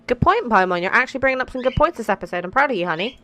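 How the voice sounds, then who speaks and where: High-voiced, playful woman gamer. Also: lively intonation, racing, bright, forceful tone, slight background noise. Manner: playful, chatty, uses pet names. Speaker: gaming video host. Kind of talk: casual playthrough commentary.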